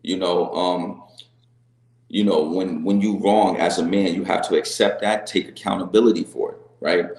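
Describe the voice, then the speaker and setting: Deep-voiced man, earnest and halting. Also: steady tone, darkish tone, echoey room. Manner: earnest, repeats words and restarts, says "right?" often. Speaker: reality show guest. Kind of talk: candid interview answers.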